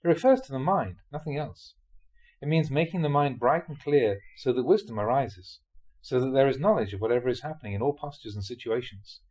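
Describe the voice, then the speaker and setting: A man, a Buddhist monk, reads translated spiritual teachings aloud in a scripted audiobook style, with measured, deliberate phrasing in short clauses. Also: medium pitch, animated, darkish tone. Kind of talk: scripted audiobook-style reading of dharma talks.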